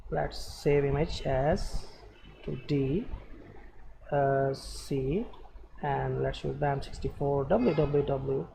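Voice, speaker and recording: A man presenting an unscripted screen-share programming tutorial, giving halting step-by-step instructions in short phrases. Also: a little high, gravelly, warm tone, a little hiss or hum, low-quality recording.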